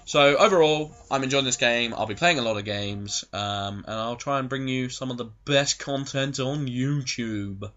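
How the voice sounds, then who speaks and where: A man's voice: medium pitch, normal speed, hardly any pauses, a bit bright, highs cut off. Rambling, sweary gaming guy. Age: 20s. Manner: rambling, swears a lot, goes off on tangents. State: voice going a bit hoarse. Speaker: gaming commentator. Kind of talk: rambling casual gameplay commentary.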